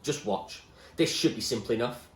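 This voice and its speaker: A man voicing a calm, blunt and ruthless young gang leader in a storybook, with a commanding manner. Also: mid-range voice, moderate pace, reverberant, a little hiss or hum, natural voice.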